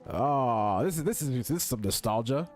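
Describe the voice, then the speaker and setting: A male game streamer with a medium-pitched voice, chatting casually. He rambles, jokes and swears freely, with faint music behind him. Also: expressive, moderate pace. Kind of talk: casual let's play commentary.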